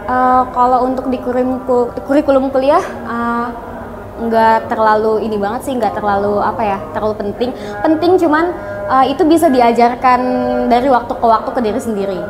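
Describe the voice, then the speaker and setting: A woman's voice: on the high side, fairly quick, music in the background, rough recording. Twenty-year-old woman answering politely. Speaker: interviewee. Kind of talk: casual street interview answers.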